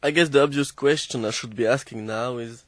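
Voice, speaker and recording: A man co-hosts a student radio show, asking his partner scripted questions in a mid-pitched voice. The studio sound is dry and close. French is his first language. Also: a little fast, a bit bright, no echo. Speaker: radio co-presenter.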